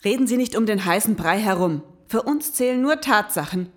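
An actress playing a prim young investigator in an audio drama, speaking in precise, formal, matter-of-fact sentences. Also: female voice, mid-range voice, animated, a little fast, natural voice. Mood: stern. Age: young adult. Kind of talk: scripted audio drama acting and narration.

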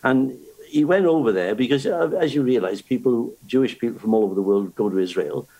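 Husky-voiced older man. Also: mid-range voice, brisk, warm tone, low-quality recording. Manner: storytelling, with restarts. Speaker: guest. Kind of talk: casual chat.

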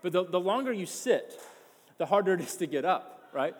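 A man's voice: high voice, lively intonation, normal speed, husky, big-room sound. A man preaching a sermon as pastor, passionate and emphatic, often repeating words as he builds his point.

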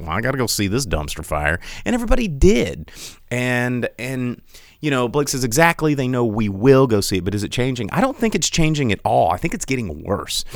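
A man hosting a casual solo podcast, rambling with many restarts and animated asides while he reads chat. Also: mid-range voice, gravelly, no room sound, clean studio sound. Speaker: podcast host.

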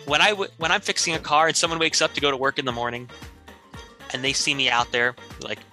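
A man's voice: mid-range voice, fairly even intonation, quick pace, bright, forceful tone, soft background music. A man playing an earnest, rambling, washed-up small-town car fixer in a mock commercial appeal for money. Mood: shameless, earnest.

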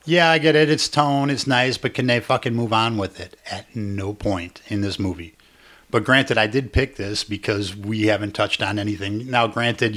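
Relaxed man co-hosting a podcast. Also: fairly low pitch. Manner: explains casually with many fillers and restarts. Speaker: podcast co-host. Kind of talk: casual podcast chat.

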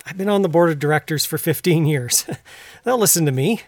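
Radio drama: plain-spoken rural husband in middle age, gruff, firm but decent, dry studio sound. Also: male voice, on the high side, brisk, no room sound. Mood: kindly, earnest. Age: middle-aged. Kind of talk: naturalistic audio drama acting.

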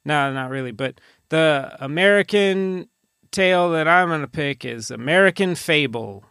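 A man's voice: fairly high pitch, animated, normal speed, bright, forceful tone, clear, resonant tone, no room sound, clean studio sound. A man hosting a casual podcast, bantering in a rambling, dryly joking way. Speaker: podcast host.

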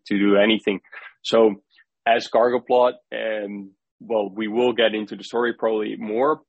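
Gravelly low Dutch-accented man. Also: dry recording, rough recording. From Netherlands. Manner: halting, often repeats words as he thinks. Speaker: startup co-founder and CEO. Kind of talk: interview answers.

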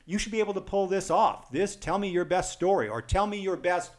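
Canadian man chatting in halting, repeating phrases. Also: sits high, expressive. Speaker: podcast co-host. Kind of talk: casual conversational review.

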